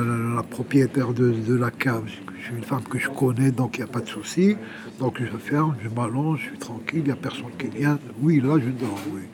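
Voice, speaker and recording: A man gives a personal testimony in informal, conversational language, repeating words as he searches for the next. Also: medium pitch. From Algeria, Kabyle. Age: 60s. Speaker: interviewee, exiled journalist living homeless.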